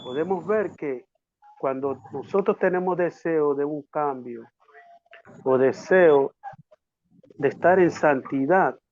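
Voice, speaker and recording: A man preaching a sermon as a pastor, haltingly, repeating words for emphasis. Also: a little high, a little mellow. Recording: echoey, dull lo-fi audio.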